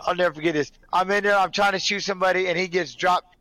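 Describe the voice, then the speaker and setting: A man in his forties chatting casually as a guest, telling stories with lively energy and acting out what people said. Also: high voice, brisk. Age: 40s. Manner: lively, quotes people in acted voices. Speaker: guest, father who streams with his son. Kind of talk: casual group chat with animated storytelling.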